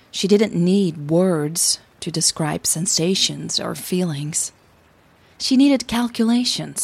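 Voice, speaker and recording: A woman podcast host reading scripted audio drama narration in a measured, intimate way with deliberate pauses. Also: medium pitch, gravelly, a little mellow, slightly rough, no room sound.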